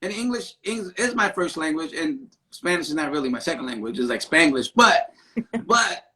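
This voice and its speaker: A man with a fairly high voice hosts a casual live-stream conversation. He talks in an animated, emphatic way and often closes his points with a quick "right?". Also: rough recording. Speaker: podcast host; therapist.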